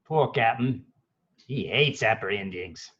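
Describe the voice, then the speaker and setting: Soft-hearted pirate mate, acted by a man: raspy, very unhurried delivery, muffled lo-fi mic, amateur table read. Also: on the low side, expressive, exaggerated voice. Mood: wistful. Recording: dull lo-fi microphone sound.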